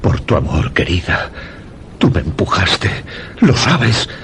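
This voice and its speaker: An actor playing an obsessive, unhinged widowed master of a house in a suspense radio drama, courteous yet intense. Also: male voice, deep voice, big pitch swings, slow pace, fairly bright tone, a little hiss or hum, heavily acted. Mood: tormented, obsessive. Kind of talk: Spanish-language suspense radio drama acting.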